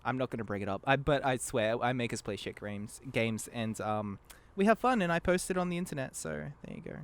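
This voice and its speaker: A man's voice: mid-range voice, lively intonation, brisk. A young man chatting casually as a podcast guest, giggly and self-deprecating. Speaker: podcast guest, amateur voice actor. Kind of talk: casual chat.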